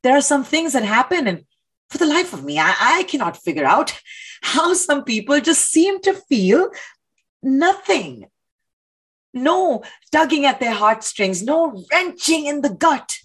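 A woman's voice: high voice, big pitch swings, unhurried. An actress playing an earnest, intellectual woman who challenges authority, speaking articulately and emphatically in dramatic dialogue. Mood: earnest, pained. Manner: articulate, emphatic, rhetorical questioning. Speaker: actor in a stage play reading.

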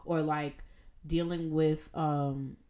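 A young adult woman chatting casually as a student co-host, telling stories in an animated, informal way with quoted asides. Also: low-pitched, takes it slowly, slight background noise. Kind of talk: casual chat.

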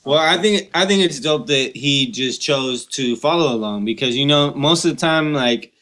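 A man's voice: mid-range voice, normal speed, fairly bright tone. A man co-hosting a casual interview, talking in loose slang and often checking in with "you know what I'm saying.". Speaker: podcast co-host.

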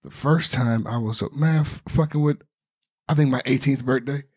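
A man's voice: mid-range voice, moderate pace, a little mellow, slightly rough. A man co-hosting a podcast, teasing his friends with dry jokes in casual chat. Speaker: podcast co-host.

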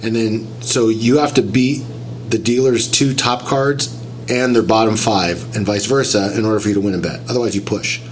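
A man's voice: on the low side, fairly even intonation, normal speed, a little hiss or hum. A man getting over a cold, sounding nasal and a little whiny, talking through a story in casual chat and explaining things step by step. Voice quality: slightly whiny.

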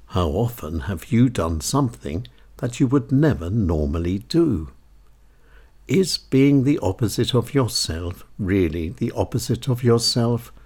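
Slow, husky man reading an essay. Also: on the low side, mellow. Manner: measured, deliberate phrasing with frequent pauses. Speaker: essayist reading own work. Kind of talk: scripted essay narration.

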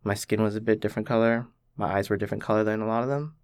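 A young man from Canada, thoughtfully telling his own story as a podcast guest, with many pauses and "like" fillers. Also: fairly low pitch, fairly even intonation, clean tone, dry recording. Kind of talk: reflective personal storytelling.

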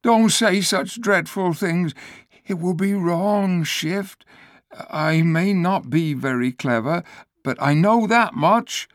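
A man voicing a timid, gentle male donkey who frets and pleads in a storybook reading. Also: high-pitched, slow, no room sound, studio quality, pitched above the performer's own voice. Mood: fearful. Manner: anxious, pleading, hesitant. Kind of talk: storybook read-aloud.

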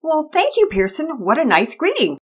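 A woman's voice: sits high, wildly swooping pitch, normal speed, voice pushed up. A woman voicing a polite, friendly neighbor lady in a read-aloud story. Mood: gracious.

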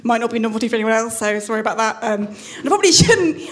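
A woman's voice: on the high side, lively intonation, very fast. A woman doing a live stand-up set, rambling in self-deprecating, nervous asides. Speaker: stand-up comedian. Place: comedy venue stage.

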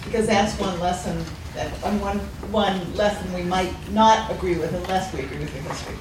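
A woman's voice: on the low side, fairly even intonation, a little fast. A woman in the audience puts a direct, probing question to the speaker. She sounds distant from the mic. Heavy echo fills the hall, with faint noise underneath. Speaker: audience member asking a question. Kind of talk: question from the floor. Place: seminar hall.

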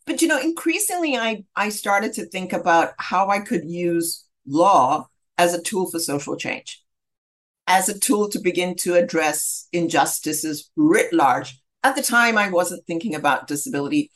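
A middle-aged woman from southern Africa telling her personal story as an interview guest, in a measured, reflective and articulate manner. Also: fairly low pitch, animated, a bit bright. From southern Africa, grew up in Zambia. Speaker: interview guest, human rights lawyer.

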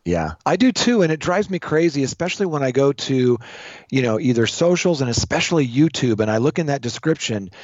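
A man's voice: medium pitch, big pitch swings, fairly quick, a little mellow, dry recording. A man speaking as a podcast co-host, giving casual conversational commentary through slightly muffled audio.

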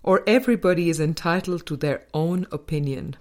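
A woman reading a spiritual book aloud as a scripted audiobook, in a measured, deliberate manner with pauses between phrases. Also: sits low, a little mellow. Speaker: audiobook reader.

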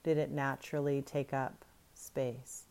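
Woman, meditation guide, soft soothing delivery, very slow pace, dark mellow tone. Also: rumbling low, level tone, gravelly.

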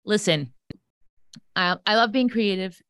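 A woman's voice: medium pitch, unhurried, a bit bright, clear, resonant tone. A woman in her forties chatting casually as a podcast guest, playful and teasing. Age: 40s. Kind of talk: casual bantering chat.

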